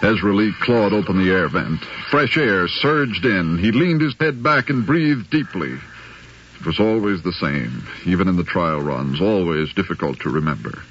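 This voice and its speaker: A man's voice: deep voice, lively intonation, normal speed, a bit bright, a little hiss or hum. A man giving dramatic scripted narration in the manner of a 1950s radio drama. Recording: dull 1950s radio recording.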